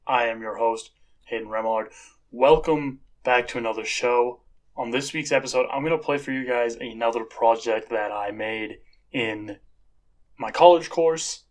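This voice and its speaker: A man's voice: medium pitch, normal speed. Male podcast host with casual delivery.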